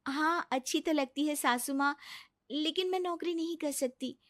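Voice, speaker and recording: A woman plays a gentle young housewife in a cartoon story. She speaks softly and politely in a high voice. The sound is dry and close. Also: normal speed, no echo, close to an everyday voice. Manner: soft, polite, sweet.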